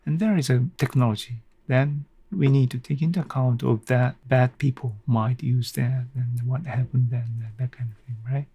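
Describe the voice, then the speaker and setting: A middle-aged man hosting a casual solo podcast, thinking aloud haltingly in non-native English phrasing. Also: mid-range voice, fairly even intonation. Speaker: podcast host.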